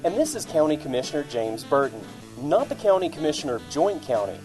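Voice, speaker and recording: Lively, crude male county commissioner, acted. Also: a little high, brisk, over music, rough recording. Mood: boastful. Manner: blunt, insulting. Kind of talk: comic radio commercial.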